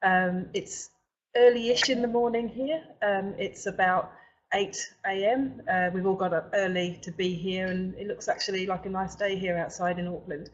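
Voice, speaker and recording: A middle-aged woman hosting an informal webinar, speaking haltingly with frequent pauses mid-sentence. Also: mid-range voice, fairly even intonation. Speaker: webinar host for a recruitment company. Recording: dull, lo-fi webinar audio.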